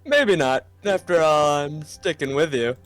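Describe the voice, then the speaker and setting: A man's voice: on the high side, expressive, a little slow, a bit bright, dry recording, faint music behind. A man playing an affable, jesting friend of a president in a game, jovial and lightly teasing.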